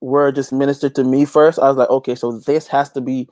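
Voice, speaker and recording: A man talks casually as an interview guest. His pitch is mid-range and the sound is dry. He makes his points emphatically, circling back and repeating phrases as he goes. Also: little pitch movement, fairly quick, a little mellow, no room sound. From Guyana. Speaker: interview guest, gospel reggae artist.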